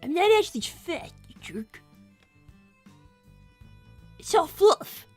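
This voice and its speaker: A woman's voice: very high voice, sing-song, slow, a little mellow, no room sound, faint music behind, heavily acted. A woman voicing a smug five-year-old boy fox, a scheming little genius with pompous, formal speech, in improvised livestream roleplay. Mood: indignant.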